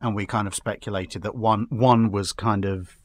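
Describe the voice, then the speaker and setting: A man's voice: on the low side, steady tone, moderate pace. A man chatting casually as a guest on a fan discussion show, building long, jokey speculative explanations with stumbling starts.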